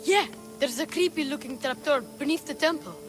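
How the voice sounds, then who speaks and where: Woman as high-voiced, feisty young boy. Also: brisk, music in the background, lightly acted. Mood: insistent. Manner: earnest, excitable, defiant. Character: eager, feisty young Greek boy, adventurer's child. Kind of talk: video game character acting.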